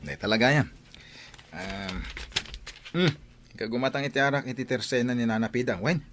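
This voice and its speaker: A man playing an excitable, curious drinking buddy in an audio drama. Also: medium pitch, normal speed, slight background noise, natural voice. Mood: surprised, curious. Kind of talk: Philippine radio-style audio drama acting.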